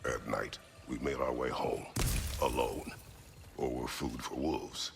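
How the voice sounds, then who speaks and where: A man playing a stoic, battle-worn Spartan warrior father in a video game, speaking tersely and formally in a deep, gravelly voice. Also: background noise, full character voice. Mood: stern. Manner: terse, formal, measured, weighty phrasing. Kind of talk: cinematic video game acting.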